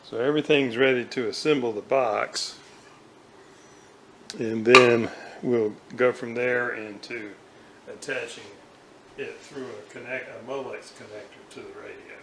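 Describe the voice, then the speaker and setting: Slow, halting older man, slightly noisy recording. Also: medium pitch, fairly even intonation. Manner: halting, pausing mid-sentence. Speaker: hobbyist restorer demonstrating a repair. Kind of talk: unscripted hands-on workshop demonstration. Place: indoors at a home kitchen counter. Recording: noisy home video, room ambience.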